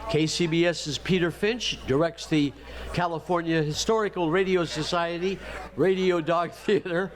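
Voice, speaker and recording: A man's voice: a little high, steady tone, normal speed. A man hosting a live stage event, giving introductions in a joking, conversational way. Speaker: event host.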